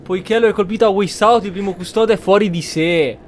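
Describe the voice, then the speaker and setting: A man's voice: high voice, fast. A man giving casual Let's Play commentary as the host of a gaming video. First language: Italian. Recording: clear game noise behind. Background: video game ambience.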